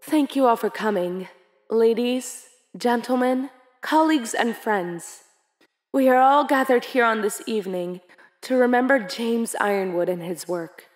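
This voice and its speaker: A woman voicing a poised female prime minister giving a formal, measured speech in an animated fan series. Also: on the high side, lightly acted. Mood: stately. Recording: long hall reverb.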